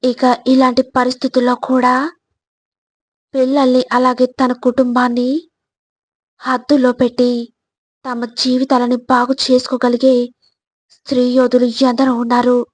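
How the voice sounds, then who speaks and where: A woman with a slightly high voice delivers scripted motivational narration. Her melody stays very flat and she speaks straight to the listener in a measured, teaching manner. The recording is dry and close, with no room sound. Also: no echo. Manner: measured, instructive, addressing the listener directly.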